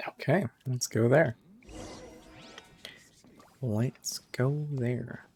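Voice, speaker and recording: Very slow-talking man streaming a game. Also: mid-range voice, darkish tone, a little hiss or hum. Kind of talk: casual gameplay commentary. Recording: faint game music behind.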